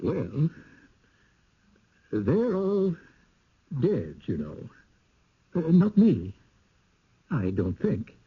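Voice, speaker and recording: An actor playing a fearful, folksy village local with a rustic Down East accent and a halting, ominous delivery in a radio drama. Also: male voice, a little high, huge pitch swings, slightly rough, heavily acted. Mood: uneasy, pleading. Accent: Down East New England. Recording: muffled, phone-like old radio recording.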